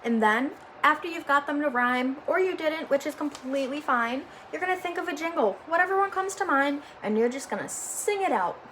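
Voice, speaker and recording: A young woman reading a book aloud to students in a calm, encouraging voice, then talking through a class activity in a quick, chatty way. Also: high-pitched, slight background noise, poor audio. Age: young adult. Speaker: student reader.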